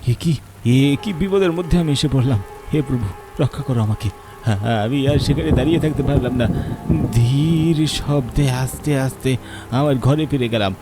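A man narrating a horror story in a dramatic, fearful way, at times stammering. His voice sits in a middle range. A steady hiss of noise runs behind him. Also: medium pitch, normal speed.

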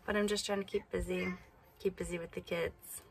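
Woman vlogger with creaky voice. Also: on the low side, flat delivery, a little fast, very noisy. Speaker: family vlogger. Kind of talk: casual chat to camera. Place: small family home and yard outdoors. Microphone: camera or phone at arm's length.

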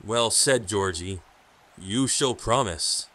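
A man's voice: fairly low pitch, takes it slowly, a bit bright. Man playing a grave forest hermit sage. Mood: solemn. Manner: grave, portentous, formal. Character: solemn, stern hermit keeper of sacred word. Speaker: audio drama actor. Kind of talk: audio drama acting.

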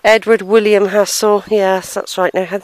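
A middle-aged woman talks to her handheld camera outdoors in a country churchyard. Her voice sits at an ordinary pitch for a woman. She muses and hesitates, sighs, and stops now and then to read old inscriptions aloud. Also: flat delivery, unhurried, a little hiss or hum, rough recording. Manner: musing, hesitant, sighs, reads gravestones aloud. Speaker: family history vlogger. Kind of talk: casual walking vlog, thinking aloud. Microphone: handheld camera, unseen narrator behind it.

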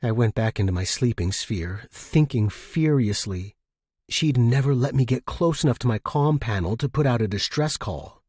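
A man's voice: mid-range voice, expressive, moderate pace, a little mellow, husky, no room sound, clean studio sound. A man reading scripted first-person fiction as a story narrator.

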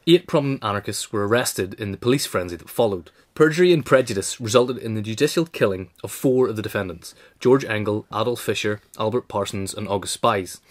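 A man reading a written biographical essay in a measured, formal way. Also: fairly low pitch. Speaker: narrator, reader. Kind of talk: scripted narration of a biographical essay.

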